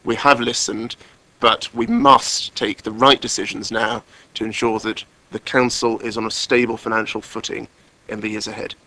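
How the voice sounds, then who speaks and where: A man delivering a formal speech as a councillor at a council meeting, in a measured, deliberate way with emphatic repetition. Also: lowish voice, little pitch movement, bright, forceful tone, low-quality recording. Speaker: councillor, cabinet member.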